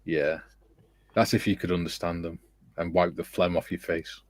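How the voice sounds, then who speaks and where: A man's voice: deep voice, little pitch movement, normal speed, dry recording. A man hosting a casual stream, reading viewer comments aloud and teasing his co-hosts in a jokey way. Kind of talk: casual chat.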